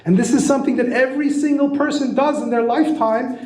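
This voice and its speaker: A man's voice: very high voice, fairly even intonation, fast talker, darkish tone. A man giving an enthusiastic teaching lecture on astrology, often asking his listeners rhetorical questions. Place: lecture room. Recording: roomy echo.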